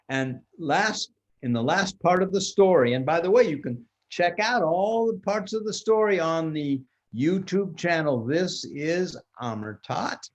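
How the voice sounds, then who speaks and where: An older man improvising a children's story like a grandpa, theatrical, with pauses and restarts. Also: a little high, highs cut off.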